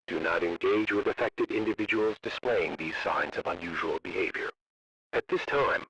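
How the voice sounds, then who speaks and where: Casting: man voicing a grave, impersonal alert-system announcer, lowish pitch, formal and measured, heard muffled and far from the mic. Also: fairly bright tone. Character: solemn official male emergency alert system announcer. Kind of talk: formal scripted emergency broadcast. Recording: muffled, noisy distant radio broadcast.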